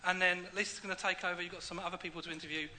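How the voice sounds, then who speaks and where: A man's voice: sits high, little pitch movement, racing, bright, forceful tone, slight background noise. A man hosting a church event, interviewing a guest on stage in a jokey, encouraging way. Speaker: event host.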